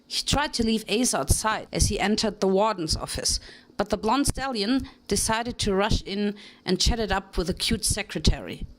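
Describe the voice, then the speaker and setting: A woman's voice: mid-range voice, moderate pace, rough recording. Woman reading a story aloud. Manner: steady storytelling narration. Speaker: reader. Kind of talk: dramatic fanfiction reading.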